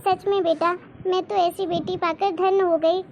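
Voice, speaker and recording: Woman as middle-aged cartoon mum: proud, protective, emphatic, very high voice, light background noise. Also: flat delivery, normal speed, a little mellow. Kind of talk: Hindi cartoon moral-story dubbing.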